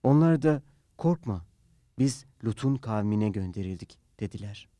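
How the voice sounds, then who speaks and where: A man's voice: fairly low pitch, expressive, measured pace, mellow, no room sound. A man reciting translated scripture in a solemn, reverent and dramatic manner. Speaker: reader.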